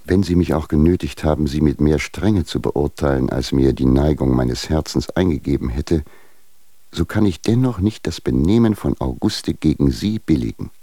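An actor playing a stern, formal patriarch, delivering measured, reproachful letters in a German radio drama. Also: male voice, extremely low pitch, mellow, no room sound. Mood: grave, reproachful. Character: stern, formal patriarch admonishing a younger husband.

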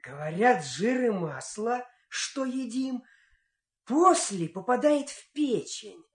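An actor playing a cocky, carefree young lazybones hero in a fairy-tale radio play, musing to himself in a sing-song way. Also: male voice, extremely high pitch, expressive, slow, heavily acted. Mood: musing, cheeky. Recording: roomy, echoing studio effect.

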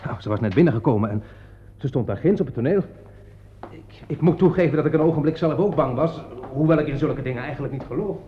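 An actor playing a nervous, uneasy theatre man in a Dutch radio play, speaking in a halting, hesitant way. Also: a little high, a little hiss or hum, close to an everyday voice. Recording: dull, lo-fi old radio recording.

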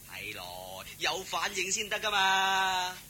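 A man with a Hong Kong Cantonese accent playing a simple, playful, eager young farm servant in a radio drama, chattering excitedly and stumbling over words. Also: high voice, slow, heavily acted. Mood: giddy, amused. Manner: excitable, stammering, deferential chatter. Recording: hissy old radio drama recording.

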